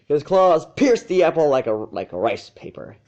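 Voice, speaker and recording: A man's voice: on the high side, big pitch swings, normal speed, a little mellow. Man narrating, slightly lo-fi recording. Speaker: narrator of fan fiction. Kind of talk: storybook narration read aloud.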